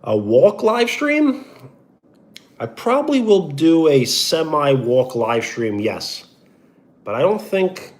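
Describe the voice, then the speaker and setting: A young man from New York talks in a Brooklyn accent, chatting loosely with the viewers of his live stream. His pitch swings up and down a lot as he calls out names with a big stretched "yo, what up". Between greetings he drops into an easy sports-radio delivery.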